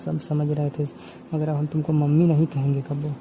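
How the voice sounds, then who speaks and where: A man's voice: on the high side, monotone, moderate pace, dark tone. A man, talking informally on the phone in an insistent, repetitive way. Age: 20s. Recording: noisy phone call recording.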